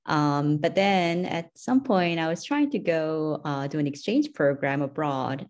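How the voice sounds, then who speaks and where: A middle-aged woman from South Korea, a native Korean speaker, talks through a video call about her research. Her voice sits low for a woman. She speaks thoughtfully, pausing on "um"s and slipping in light laughs. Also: a little mellow. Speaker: neuroscience professor, guest. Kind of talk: casual interview chat. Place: home room, indoors.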